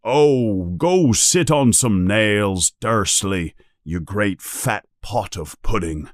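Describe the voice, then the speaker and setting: A man voicing a booming giant gamekeeper in a storybook, hot-tempered yet kindly, with an emphatic, exclaiming way of talking. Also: expressive, slow pace, dry recording, clean studio sound. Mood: scornful.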